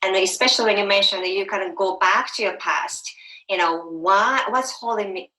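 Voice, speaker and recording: A woman from Japan, hosting an interview and speaking candidly with halting phrasing. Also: medium pitch, bright, forceful tone. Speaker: summit host. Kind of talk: interview conversation. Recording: echoey room.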